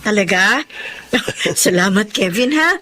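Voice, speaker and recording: A woman's voice: mid-range voice, lively intonation, slow, bright, forceful tone, slight background noise. A woman playing an apologetic, friendly Filipino mother in a radio drama, sheepish and hesitant. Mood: friendly, relieved.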